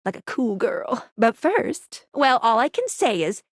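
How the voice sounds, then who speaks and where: High, perky, geeky game girl. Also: very animated, unhurried, no room sound, clean studio sound, heavily acted. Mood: playful, chatty. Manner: peppy, quirky, slangy, stammers when flustered. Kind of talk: animated video game character dialogue.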